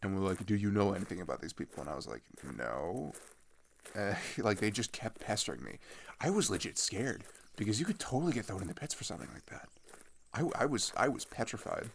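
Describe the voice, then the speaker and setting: Low, animated, rambling teenage guy played by a man. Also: gravelly, slight background noise. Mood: candid, animated. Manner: rambling, halting, slangy, with animated retellings. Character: chatty, excitable teenage runaway boy telling road stories. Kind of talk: improvised-sounding ASMR audio roleplay monologue.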